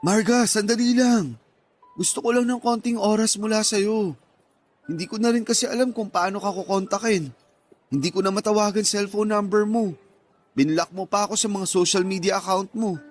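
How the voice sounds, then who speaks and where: Man acting a high-voiced, lovelorn young man. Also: moderate pace, soft background music. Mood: earnest, pleading. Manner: pleading, earnest, emotional. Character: Stanley, lovelorn young man, earnest, pleading, clinging. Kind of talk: Tagalog radio drama acting.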